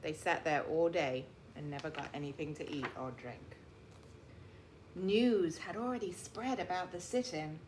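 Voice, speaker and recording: A woman reading a picture book aloud like a teacher, with deliberate, emphatic phrasing and explanatory asides, in a roomy recording. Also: deep voice, darkish tone. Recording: roomy echo, faint background noise.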